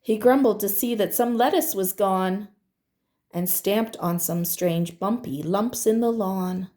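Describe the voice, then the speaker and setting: A woman teacher reading a picture book aloud to children in a deliberate, expressive storytelling manner. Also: mid-range voice.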